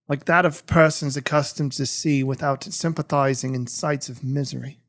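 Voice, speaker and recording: A man playing an anguished, guilt-ridden imprisoned gentleman, narrating a literary audiobook in a theatrical, brooding manner. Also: mid-range voice, vocal fry. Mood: grim recollection.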